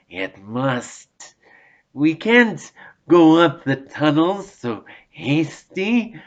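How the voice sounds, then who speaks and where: A man's voice: a little high, pitched above the performer's own voice, exaggerated voice. A man voicing a wretched, sly cave creature who hisses and wheedles, in a dull lo-fi audiobook recording. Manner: hissing, wheedling, talks to himself. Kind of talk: audiobook reading.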